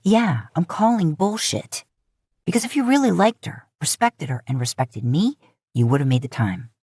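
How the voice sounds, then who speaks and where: A woman's voice: low voice, very animated, normal speed, a little mellow, breathy edge, no room sound, studio quality, heavily acted. Woman playing exasperated, scolding younger brother. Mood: accusing.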